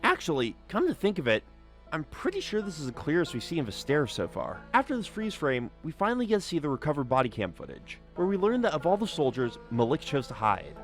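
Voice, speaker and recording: Guy doing video essay commentary, really lively up and down delivery, casual and emphatic, music under it. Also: a little high, a little fast. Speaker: video essay host and narrator. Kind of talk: scripted analysis commentary with casual asides.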